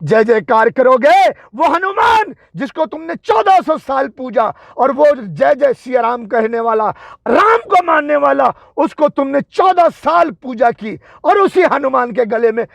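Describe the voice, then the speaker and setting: Very high-pitched scolding man, creaky. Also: sing-song, a little slow, few pauses, no echo, clean studio sound. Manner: confrontational, scolding, taunting with rhetorical questions; repetitive, emphatic delivery. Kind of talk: angry political and religious tirade.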